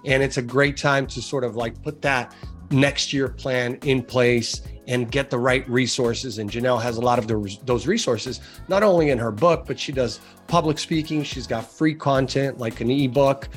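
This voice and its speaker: Male podcast host, upbeat and conversational, mid-pitched, faint music bed. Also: moderate pace. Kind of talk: podcast introduction and interview.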